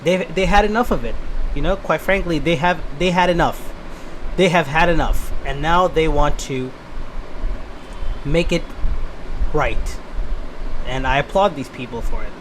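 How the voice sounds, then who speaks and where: A man's voice: a little high, fairly bright tone, poor audio. A man from Bangladesh comments casually as the host of a reaction video. He speaks in a slightly raised voice and keeps restarting his sentences with "you know". Faint noise runs behind him. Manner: halting, repeats words, many "you know" fillers. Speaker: channel host reacting to clips.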